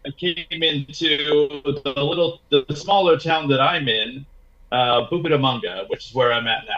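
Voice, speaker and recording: A man's voice: a little high, normal speed, a bit bright, slight background noise. A man chatting casually as a podcast guest over a video call, with laid-back, slangy phrasing about his own experiences. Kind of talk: casual travel chat. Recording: dull lo-fi video call audio.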